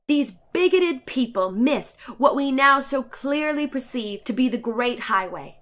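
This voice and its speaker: Woman reading as a snooty chatty lady traveler from a storybook, high voice, very theatrical and wordy. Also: bright, forceful tone, heavily acted. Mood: earnest, mocking. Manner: theatrical, emphatic, ornate and declamatory. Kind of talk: dramatic reading of a short story.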